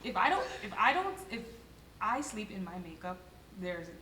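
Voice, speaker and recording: A woman, an actress and guest on an interview show, talking playfully with halting restarts and giggles. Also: medium pitch, sing-song, pushed and bright, recorded from a distance, a little hiss or hum. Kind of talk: casual interview chat. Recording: echoey room, off-mic, humming.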